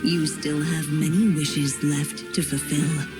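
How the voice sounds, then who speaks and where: An actress playing a gentle, solemn motherly storyteller in a video game, speaking tenderly and with measured care as she bids farewell. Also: deep voice, level tone. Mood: tender, loving. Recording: game dialogue under clear background music. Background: music.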